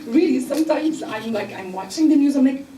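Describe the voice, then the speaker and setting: A woman from Egypt speaking on a panel, explaining earnestly with filler pauses. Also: high-pitched, animated, warm tone, recorded from a distance, a little hiss or hum. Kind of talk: panel discussion. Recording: echoey room, mic from afar.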